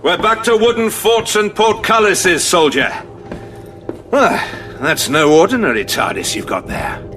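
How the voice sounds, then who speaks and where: An actor playing a dutiful, loyal army general who speaks with crisp, clipped military authority. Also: male voice, high voice, lively intonation, unhurried. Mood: commanding. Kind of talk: audio drama acting. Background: music score.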